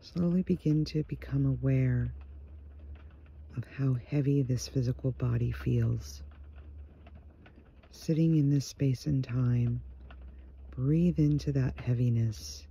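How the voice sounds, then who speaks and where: Slow, gravelly, mellow man guiding meditation. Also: mid-range voice. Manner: gentle, hushed, unhurried pauses between phrases. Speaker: meditation guide. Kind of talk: guided meditation, slow soothing narration. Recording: muffled, dull home recording with faint noise.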